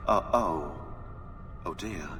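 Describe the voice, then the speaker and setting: An actor playing a dry, sardonic historian in a video game, with a British accent and wry delivery. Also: male voice, sits low, slow, sharp tone, full character voice. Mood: dismayed. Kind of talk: dramatic video game cutscene acting. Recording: long echoing reverb, faint music.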